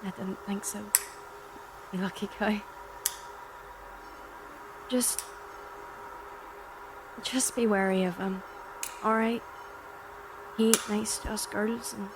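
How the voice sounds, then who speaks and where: Caring factory mother over clear background noise, woman acting. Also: mid-range voice. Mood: wary. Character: protective working-class factory mother, caring, cautious. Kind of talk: audio drama acting.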